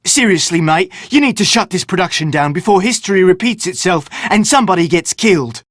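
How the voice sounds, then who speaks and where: Curse-obsessed film crew member, sarcastic, theatrical, video game dialogue, very dry close recording. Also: male voice, high-pitched, expressive, a little fast, a bit bright, no room sound. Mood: alarmed, urgent.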